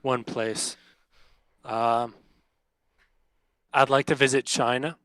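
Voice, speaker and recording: A young man in a casual chat, laid-back and hesitant, often pausing on "um". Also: mid-range voice, fairly even intonation, a bit bright, no echo.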